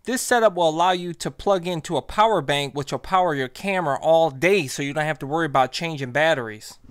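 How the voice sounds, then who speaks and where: Male tutorial narrator, slightly high pitch, scripted instructional read, dry close sound. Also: a little fast, fairly bright tone, clean tone, no room sound. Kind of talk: scripted how-to voice-over.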